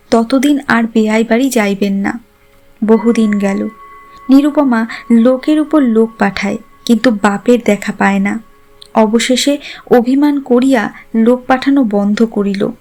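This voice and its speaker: A woman's voice: a little high, darkish tone. A woman narrating a literary story a script.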